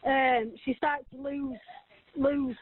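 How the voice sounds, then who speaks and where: A woman making an emergency phone call, halting and hesitant with many fillers. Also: sits high, steady tone, no room sound. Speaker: emergency caller. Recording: tinny phone line.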